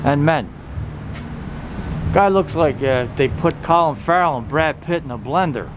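Man narrating over loud street noise. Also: a little high, lively intonation, measured pace. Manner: offhand, wry joking. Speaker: vlogger giving a walking tour. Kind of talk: casual walking-tour commentary, reading signs aloud. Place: outdoors on a town shopping street. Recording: noisy outdoor street recording, traffic ambience. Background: loud street and car noise.

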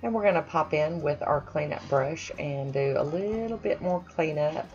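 A woman's voice: low voice, normal speed, darkish tone, muffled recording, soft background music. A woman chatting casually over her own nail painting, self-deprecating with friendly asides. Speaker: nail art vlogger. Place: home manicure station, voice-over.

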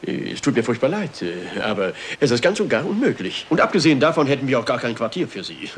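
An actor playing a stuffy, formal and eagerly polite navy captain in a radio play, with clipped orders and blustering hesitations. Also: male voice, mid-range voice, very animated, fast talker, slight background noise, lightly acted. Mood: flustered. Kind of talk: German radio-play acting.

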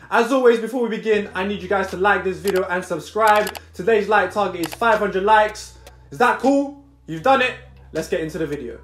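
High-voiced young man presenting in echoey room. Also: fairly bright tone. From Brazil. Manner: upbeat, punchy list delivery. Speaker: host. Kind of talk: scripted presenting to camera. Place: small indoor room against plain wall. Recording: echoey room, light music behind.

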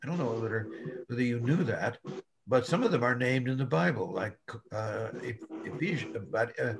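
An older man, a pastor, slowly teaching a Bible class over a video call, explaining step by step. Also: mid-range voice, animated, gravelly, background noise. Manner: slow, deliberate, explaining with repeated "okay?". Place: home room on a video call. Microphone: video call webcam.

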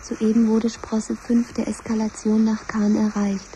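A very bright, sharp female computer voice played by an actress delivers formal announcements in a 1970s radio play. She is measured and ceremonious, with faint noise on the recording. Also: a little high, monotone, close to an everyday voice, rough recording. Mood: solemn, official. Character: official female computer voice of a crisis command centre.

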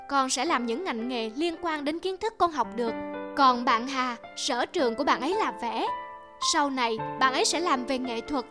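A high-voiced, gentle mother played by a woman gives patient, wise guidance in a cartoon fable. Faint music plays behind her. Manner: patient, instructive. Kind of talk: cartoon moral-tale dubbing.

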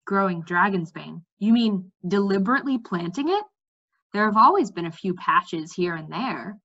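A woman's voice: medium pitch, lively intonation, normal speed, a bit bright, husky, lo-fi sound. Earnest, puzzled young storybook king read by a woman. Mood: concerned. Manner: questioning, earnest.

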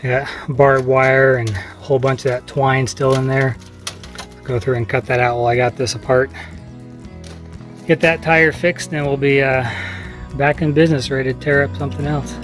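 A man's voice: medium pitch, flat delivery, normal speed, soft background music. A man in his thirties vlogging outdoors on a homestead, talking casually as he works on a repair, filmed with the camera held at arm's length. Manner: easygoing, plainspoken, explaining as he works. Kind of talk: casual how-to vlog. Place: outdoors on a farmyard. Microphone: camera mic, selfie at arm's length.

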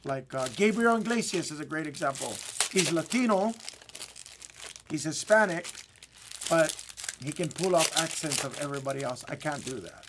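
A man's voice: fairly high pitch, animated, normal speed, background noise. A man with a Hawaiian accent chatting casually as a livestream host. He rambles, repeats his words and laughs often. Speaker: livestream host of card breaks.

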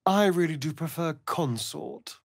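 A man's voice: fairly high pitch, measured pace, no echo, clean studio sound. A man playing a vain, sardonic, centuries-old vampire rogue in a video game, with an arch, theatrical delivery. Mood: wry, amused. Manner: arch, flamboyant, drawling, mocking. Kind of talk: video game character acting.

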